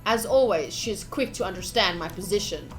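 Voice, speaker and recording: A woman livestreaming a visual novel playthrough, reading the text aloud with excitable, playfully dramatic and casual commentary. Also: medium pitch, a little fast, soft background music, poor audio. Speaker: streamer playing a visual novel.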